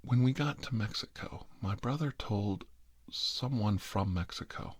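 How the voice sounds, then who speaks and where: Deep-voiced middle-aged man, casual storyteller. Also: a little slow, breathy edge, no room sound. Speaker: video storyteller. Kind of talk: casual rambling personal storytelling.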